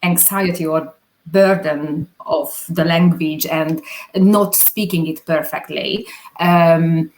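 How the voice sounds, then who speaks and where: A woman's voice: sits low, fairly even intonation, slow, a little mellow. A woman with a Hungarian accent, a non-native English speaker, answering interview questions hesitantly with many fillers. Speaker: guest, videographer.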